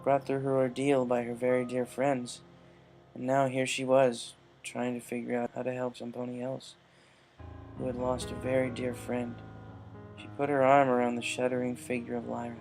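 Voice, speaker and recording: A man narrates a written story. His voice sits at a middle pitch with noticeable vocal fry, and soft music plays faintly behind him. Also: monotone, normal speed, rough recording. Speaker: narrator reading a fan-fiction story.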